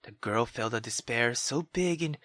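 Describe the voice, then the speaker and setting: A woman reading a visual novel aloud as a let's player, in an expressive, dramatic manner. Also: rumbling low, moderate pace, no room sound, studio quality.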